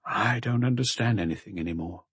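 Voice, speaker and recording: A man's voice: lowish voice, a little fast, fairly bright tone, husky, dry recording. A man voicing a shaken middle-aged husband confessing to murder, hesitant and subdued, in an audiobook. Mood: stunned.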